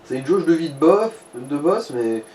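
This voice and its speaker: A man's voice: a little high, big pitch swings, moderate pace, warm tone, rough recording. A man giving casual advice and comments beside a player, in crude slang. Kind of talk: casual gaming chat. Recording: faint background noise.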